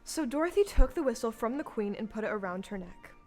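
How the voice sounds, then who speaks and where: A woman's voice: a little high, expressive, fast. A woman playing the storybook narrator in an audio play, reading scripted narration. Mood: storytelling.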